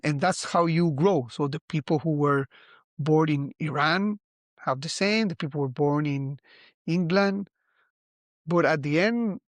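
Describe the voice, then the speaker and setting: A man's voice: fairly high pitch, no room sound, studio quality. Musing Costa Rican man in his forties. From Costa Rica. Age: 40s. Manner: musing, halting, many restarts. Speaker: vlogger. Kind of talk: reflective casual monologue.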